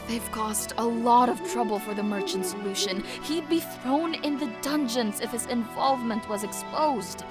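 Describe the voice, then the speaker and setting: A woman's voice: on the high side, moderate pace, hardly any pauses, over music, close to an everyday voice. A woman playing an anxious, protective young elder sister in an audio drama, earnest and uneasy. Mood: worried, grave.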